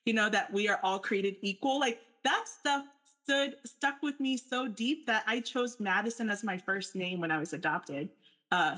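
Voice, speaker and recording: A woman's voice: mid-range voice, fairly bright tone. A young adult woman speaking earnestly and candidly in a panel discussion, talking through her thoughts with fillers. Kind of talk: personal reflection in a panel discussion.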